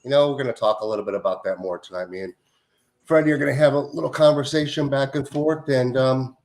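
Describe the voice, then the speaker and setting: A man's voice: mid-range voice, fast talker, a little mellow. A man hosting a casual conversation show, introducing his guest in a loose, enthusiastic way. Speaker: host.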